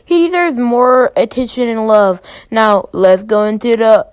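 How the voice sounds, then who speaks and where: A woman with a fairly high voice hosts a ranking video. She talks informally and emphatically, delivering opinionated commentary as she works through her list. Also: a little slow, clear, resonant tone. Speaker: host of a fan ranking video.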